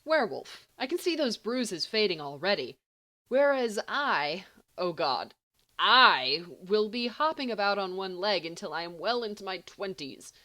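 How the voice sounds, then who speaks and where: A woman's voice: medium pitch, big pitch swings, measured pace, pushed and bright. A woman voicing a brash, joking teenage boy in audiobook dialogue, rambling and emphatic. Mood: joking, excited.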